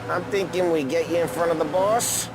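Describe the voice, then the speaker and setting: An actor playing an easygoing, seasoned mobster in a video game, with a breezy, teasing, streetwise way of talking. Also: male voice, a little high, steady tone, normal speed, background noise, close to an everyday voice. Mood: wry, relaxed. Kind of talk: cinematic game acting.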